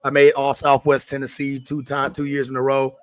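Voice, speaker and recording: A hoarse man in casual sports talk on a podcast. He keeps an upbeat, friendly flow, sits at a middle pitch and sounds close and dry on the mic. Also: completely flat delivery, fairly quick, no room sound. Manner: upbeat, says "man" and "brother" often. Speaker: podcast co-host.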